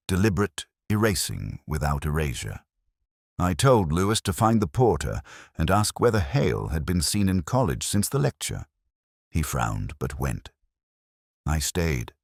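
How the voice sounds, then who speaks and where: A man reading a first-person literary detective story in a measured, reflective, formal way. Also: a bass voice, darkish tone, no echo, clean studio sound. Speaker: narrator of a detective mystery story.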